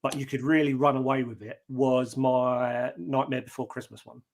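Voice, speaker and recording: A man with a deep talking voice answers interview questions about his singing. He speaks in a relaxed, plain-spoken way, often pausing on an um before he finds his point, and his pitch sits around the middle for a man. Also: gravelly. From Britain. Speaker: guest singer.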